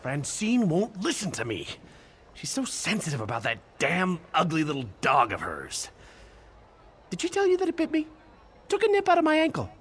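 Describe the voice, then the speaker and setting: An indignant fantasy game townsperson. The voice is husky and extremely animated, with an aggrieved, emphatic delivery that jumps widely in pitch. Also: moderate pace, exaggerated voice. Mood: indignant, outraged. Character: indignant, aggrieved townsperson ranting about a menace. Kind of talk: scripted fantasy game dialogue.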